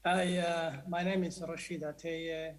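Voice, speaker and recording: A man from Somalia, a consultant, introducing himself quietly and hesitantly over a distant video call. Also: fairly high pitch, level tone, a little slow.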